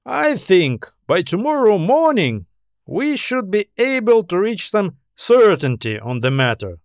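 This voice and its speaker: A man acts out an elderly sci-fi audiobook scientist, pitched noticeably high and recorded dry. He explains patiently and philosophically, with polite composure and a wry streak. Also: very animated, a little slow, no room sound, exaggerated voice. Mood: courteous, composed. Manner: thoughtful, philosophizing, gently explanatory. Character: old alien scientist, calm, courteous, wryly amused. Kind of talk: audiobook character dialogue.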